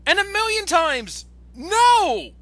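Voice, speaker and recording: A man ranting casually on an online voice call, exasperated and excitable, stammering and swearing when he gets worked up. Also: very high voice, slow pace, no room sound. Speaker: guest commentator on an online video.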